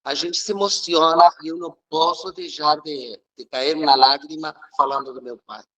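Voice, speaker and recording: A man's voice: on the high side, fairly even intonation, fairly bright tone, rough recording. A Uruguayan man, a native Spanish speaker with a Uruguayan accent, telling his religious life story in an earnest, reflective way as an interview guest. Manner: earnest, reflective, occasional halting restarts. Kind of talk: emotional personal storytelling.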